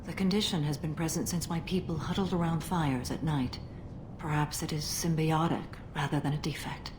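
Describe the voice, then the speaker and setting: An actress voicing an ancient, honorable alien warrior woman in a video game, speaking in a formal, measured, grave manner. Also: low voice, fairly even intonation, lightly acted. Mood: grave, intent. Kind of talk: video game dialogue acting. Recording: echoey hall, noisy ambience behind.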